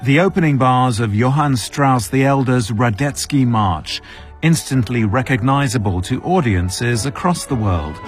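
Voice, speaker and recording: Measured male news correspondent. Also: mid-range voice. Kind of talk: news report narration.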